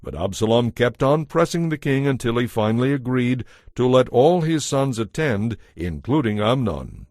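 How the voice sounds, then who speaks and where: A man's voice: medium pitch, big pitch swings, moderate pace, hardly any pauses, darkish tone, no echo, clean studio sound. A man giving a solemn, scripted dramatic narration of scripture as storyteller.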